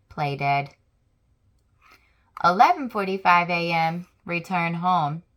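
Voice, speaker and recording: A young adult woman reading a children's picture book aloud, lively and expressive, with chatty asides to young listeners. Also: lowish voice.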